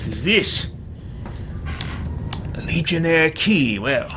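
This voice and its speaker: A man's voice: fairly high pitch, big pitch swings, slow, noisy background. A man voicing a pompous evil wizard with grandiose, haughty exclamations while roleplaying in a video game. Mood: pondering, haughty.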